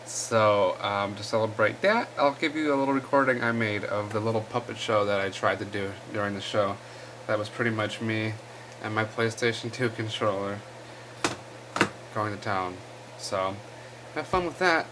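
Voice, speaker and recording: A man's voice: fairly low pitch, normal speed. A man co-hosting a podcast, chatting casually with dry humor. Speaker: podcast co-host. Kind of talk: casual joking chat. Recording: faint background noise.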